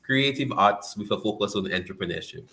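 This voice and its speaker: A young man from Saint Lucia, a guest in a casual interview, speaking softly with pauses and fillers. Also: fairly low pitch.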